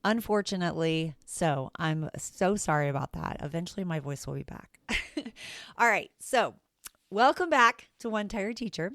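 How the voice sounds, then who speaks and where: A low-pitched woman with a raspy edge talks through her teaching podcast in a chatty, self-deprecating way. The sound is dry and close, with no room around it. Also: lively intonation, normal speed, fairly bright tone, no echo. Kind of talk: casual solo podcast talk.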